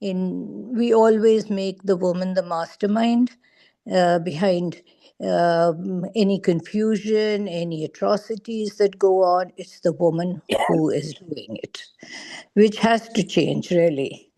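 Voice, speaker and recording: A woman speaks slowly in an informal group discussion. Her voice is soft-edged and relaxed, with noticeable fry. She works through her points one at a time, pausing to find words. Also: lowish voice. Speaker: book club participant giving comments.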